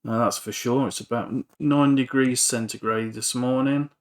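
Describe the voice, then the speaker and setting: A man casually talking through a repair in a workshop, hesitant, thinking aloud with frequent pauses. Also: mid-range voice, fairly even intonation. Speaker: electronics hobbyist and repair vlogger. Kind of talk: casual workbench talk-through. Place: home workshop.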